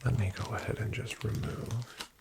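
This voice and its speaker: A man whispering as a formal, dryly ironic doctor in a soft-spoken ASMR roleplay. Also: fairly low pitch, fairly even intonation, moderate pace, vocal fry, very noisy.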